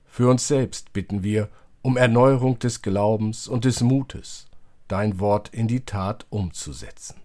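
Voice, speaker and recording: A man leading liturgical prayer as a clergyman, speaking in solemn, measured phrases. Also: on the low side, a little mellow. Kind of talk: liturgical prayer, church service podcast.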